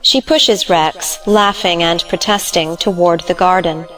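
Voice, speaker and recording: A woman's voice: fairly low pitch, steady tone, unhurried, a bit bright, soft background music, poor audio. A woman giving scripted narration, reading out the stage directions of a play.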